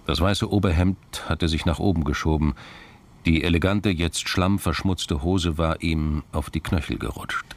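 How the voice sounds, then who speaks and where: A man narrating a scripted crime drama in a gravelly voice, measured and understated. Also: extremely low pitch.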